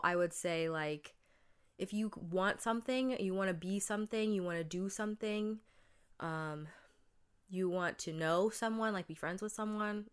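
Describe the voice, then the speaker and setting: A woman's voice: medium pitch, smooth. A young woman hosting her own podcast, talking casually and chattily with lots of fillers, her voice still stuffed up from a cold. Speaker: podcast host. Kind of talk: casual solo podcast monologue.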